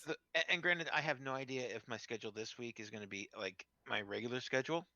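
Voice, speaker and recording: A man chatting casually and a little haltingly over an online voice chat. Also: medium pitch, sharp tone, no room sound. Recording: dull lo-fi voice chat.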